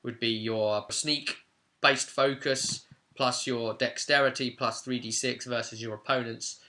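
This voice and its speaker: A man's voice: medium pitch, steady tone, unhurried, bright, forceful tone, a little hiss or hum. A man presenting an informal explainer on game rules in an enthusiastic, conversational manner. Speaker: presenter of a tabletop game guide.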